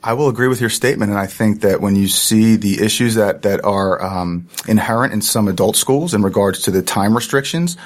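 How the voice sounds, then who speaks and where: A man's voice: on the low side, fairly quick, few pauses. A man who is a charter school principal, speaking as an interview guest with eager, earnest advocacy.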